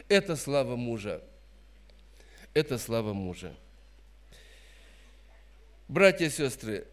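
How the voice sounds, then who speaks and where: A man in his fifties preaching a sermon in an emphatic, admonishing way, repeating phrases for stress. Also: high-pitched. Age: 50s. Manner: admonishing, didactic.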